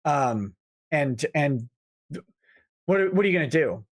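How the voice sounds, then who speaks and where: Dude explaining stuff on a chill livestream, voice a bit on the high side, restarts sentences. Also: male voice, expressive. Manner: explains in a measured way, with quick restarts. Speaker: co-host. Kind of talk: casual livestream chat.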